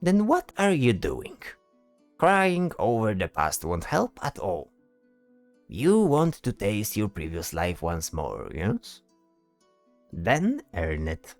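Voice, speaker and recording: A man's voice: on the low side, wildly swooping pitch, slow pace, dry recording, soft background music, heavily acted. A man voicing a bold, teasing bard in a fantasy audio drama, talking theatrically and tagging questions onto his sentences. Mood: scolding, brisk. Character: flamboyant male bard, teasing, bold, self-assured.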